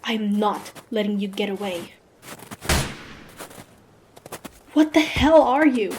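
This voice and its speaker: A woman's voice: mid-range voice, expressive, normal speed, noisy background, close to an everyday voice. A woman playing a proud, defiant monster hunter in a dramatic fantasy audio roleplay. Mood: angry, shocked. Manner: haughty, taunting, dramatic. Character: proud, defiant young female monster hunter, grudgingly curious.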